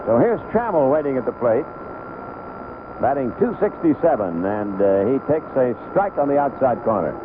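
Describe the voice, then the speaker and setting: A man calling baseball play-by-play live on the radio in short, unhurried phrases. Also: medium pitch, very animated. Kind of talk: live radio sports commentary. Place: outdoor ballpark broadcast booth. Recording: tinny, noisy old AM radio broadcast.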